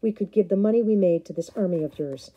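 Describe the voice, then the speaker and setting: A woman voicing a calm, plainspoken male herder from the far north who talks steadily. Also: high voice, quick pace, lo-fi sound.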